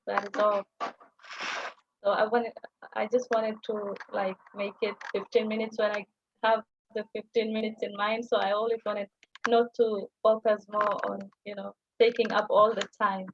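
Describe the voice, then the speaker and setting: A woman's voice: medium pitch, level tone, moderate pace. A young woman with a Kenyan accent, whose first language is Somali, explaining at an easy pace with many fillers. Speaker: guest language teacher. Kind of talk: presenting on a livestream call. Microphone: video call. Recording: muffled video call audio with light noise.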